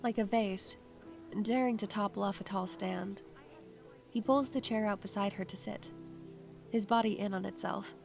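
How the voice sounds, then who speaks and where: A woman playing an anxious, observant first-person narrator in a scripted audio drama, measured and literary. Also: mid-range voice, steady tone, creaky, a little mellow, soft background music, close to an everyday voice. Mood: tense, hushed.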